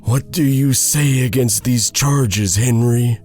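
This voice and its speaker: A man's voice: mid-range voice, slow pace, darkish tone, soft background music, pitched above the performer's own voice, close to an everyday voice. A man voicing a stern, zealous village pastor who intones formally and commands as judge in a storybook tale. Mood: stern, accusing.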